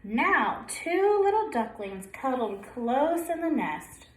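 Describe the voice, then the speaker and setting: A woman's voice: high-pitched, big pitch swings, takes it slowly, a little hiss or hum. A woman preschool teacher reading a picture book aloud to young children, prompting with questions and sing-song counting. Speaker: preschool story-time teacher. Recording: roomy echo.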